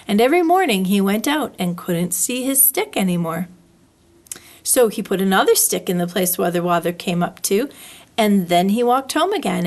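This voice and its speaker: A woman reading a children's story aloud as a storyteller, expressively, drawing out words for effect. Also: mid-range voice. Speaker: library storyteller.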